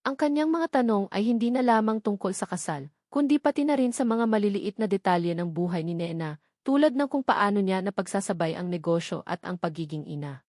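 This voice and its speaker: A woman reading a scripted romance story as narrator, in a measured storytelling delivery. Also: mid-range voice, animated, darkish tone, no echo, studio quality.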